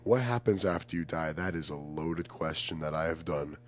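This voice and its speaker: A man's voice: rumbling low, normal speed. A young man chatting casually and reflectively as a podcast guest, earnest and emphatic, often searching for the right words.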